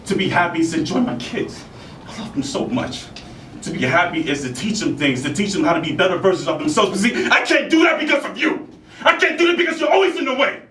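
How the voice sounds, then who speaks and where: A man performs an embittered bar owner and father in a dramatic monologue, confrontational and full of self-blame. He speaks haltingly and hammers phrases by repeating them. The mic is distant, the room echoes, and a clear rumbling noise sits underneath. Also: fairly bright tone, lightly acted.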